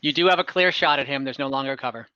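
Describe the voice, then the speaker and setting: Male game master, bright dry tone, relaxed, laughs a lot. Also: mid-range voice, clean tone, dry recording, lo-fi sound. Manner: relaxed, quick rules checks with little laughs. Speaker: dungeon master. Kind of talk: running an online tabletop game.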